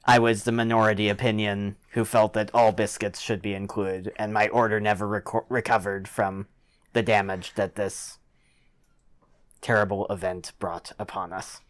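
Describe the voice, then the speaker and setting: Clear, low-voiced man playing a tabletop game. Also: flat delivery, normal speed. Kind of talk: playful in-character game talk.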